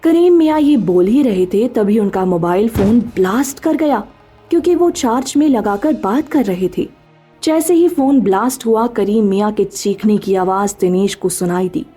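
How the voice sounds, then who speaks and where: A woman's voice: a little high, lively intonation, moderate pace, dark tone, breathy edge, soft background music. A woman narrating a scripted story as the narrator.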